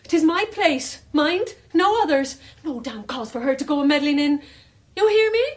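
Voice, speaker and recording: An actress playing a teasing housewife who talks like an old-fashioned country woman in a radio drama, in deliberate archaic rural dialect. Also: high-pitched, animated. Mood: sharp, possessive.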